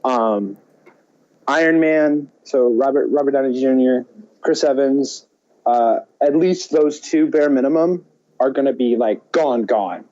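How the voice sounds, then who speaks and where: A man chatting casually as a podcast co-host, with a mellow, mid-pitched voice. He talks haltingly and circles back to restart his thoughts, on a slightly muffled recording. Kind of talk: casual film chat.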